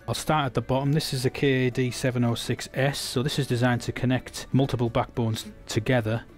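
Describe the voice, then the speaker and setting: A man's voice: mid-range voice, a little mellow. An English man giving a technical product demonstration, explaining steadily with frequent restarts.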